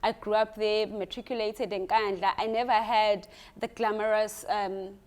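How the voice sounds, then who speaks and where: A woman's voice: mid-range voice, little pitch movement, moderate pace. A young South African woman doctor, telling her story in an interview and mixing isiZulu into her English. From South Africa, KwaZulu-Natal. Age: young adult. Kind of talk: casual interview chat.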